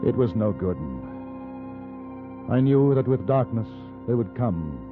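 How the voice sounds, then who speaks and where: An actor playing a resolute, reserved gentleman on the frontier, measured and understated, in a 1950s radio western. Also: medium pitch, lively intonation, lightly acted. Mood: fatalistic narration. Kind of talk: 1950s radio western acting with first-person narration. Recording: muffled vintage radio recording with music.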